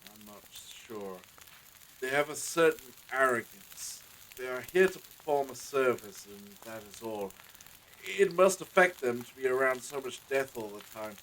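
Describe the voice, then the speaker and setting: A man's voice: medium pitch, animated, a little slow, a bit bright, a little hiss or hum, low-quality recording. An actor playing an anxious, courteous village husband and father in a period audio drama, with hesitant, formal old-fashioned phrasing. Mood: troubled.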